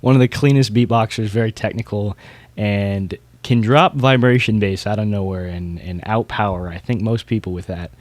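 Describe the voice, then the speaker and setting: A man's voice: fairly low pitch, normal speed, no echo. A man co-hosting a podcast, giving casual hobbyist commentary in a conversational way. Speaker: podcast co-host.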